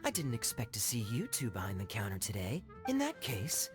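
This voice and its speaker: A man playing a suave young knight in a video game, smooth-talking and slyly teasing. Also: lowish voice, huge pitch swings, fairly quick. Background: music.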